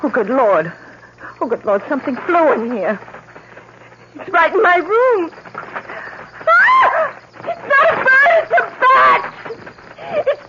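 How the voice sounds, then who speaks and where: A woman's voice: very high-pitched, sing-song, takes it slowly, pauses often, full character voice. An actress playing an anguished, stubborn young woman grieving her sister in a radio mystery drama, with an emotional delivery. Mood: terrified. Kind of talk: old-time radio mystery drama acting. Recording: dull, noisy old radio recording.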